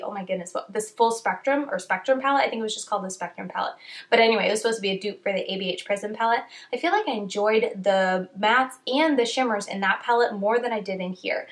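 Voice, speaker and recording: A young woman with a stuffy nose from a cold, chatting casually through a makeup review. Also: mid-range voice. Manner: chatty, rambling with many "like"s. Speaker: beauty vlogger.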